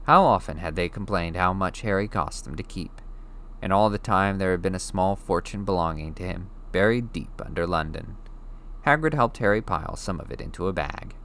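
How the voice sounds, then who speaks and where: Deep-voiced man reading a story aloud. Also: normal speed, a bit bright. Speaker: audiobook reader.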